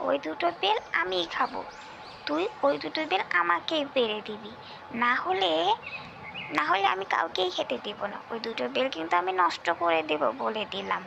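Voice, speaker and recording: A quarrelsome little girl from a cartoon village tale, acted by a woman. The voice is extremely high with a creaky edge, whiny and insistent. Clear noise sits behind it. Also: expressive, moderate pace, exaggerated voice. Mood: demanding, quarrelsome. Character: bossy, squabbling little village girl sisters. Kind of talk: cartoon folk-tale voice acting.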